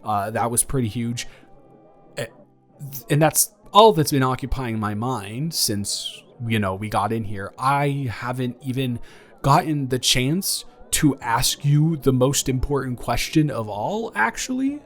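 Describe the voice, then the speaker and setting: A man's voice: medium pitch, expressive, a little slow, a bit bright. An earnest young ex-boyfriend, acted by a man, speaks in a mid-range male voice over background music. He is caring and a little awkward, stumbling and doubling back through his sentences. The delivery is intimate, improvised roleplay. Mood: puzzled, teasing. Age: young adult. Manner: hesitant, stammering, rambling. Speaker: audio roleplay performer.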